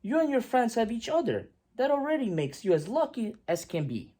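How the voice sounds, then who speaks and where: Lady doing a crafty little leprechaun guy from a kids book, teasing, singsongy, husky, up and down. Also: on the high side, very animated, normal speed, warm tone, pitched above the performer's own voice. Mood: earnest. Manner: teasing, sing-song rhyming. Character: crafty, teasing male leprechaun, playful rhymer. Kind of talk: children's storybook read-aloud.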